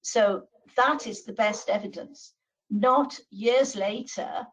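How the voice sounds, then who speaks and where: A woman with a Welsh accent giving a deliberate legal presentation on a video call, with frequent pauses and fillers. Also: mid-range voice, distant mic, rough recording. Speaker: law professor speaking on a webinar. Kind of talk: measured expert presentation.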